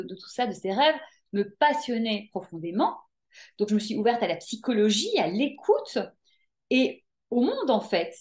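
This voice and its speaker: Woman, guest coach; lively, emphatic, casual with hesitant fillers. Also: medium pitch. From Belgium. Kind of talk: casual interview chat.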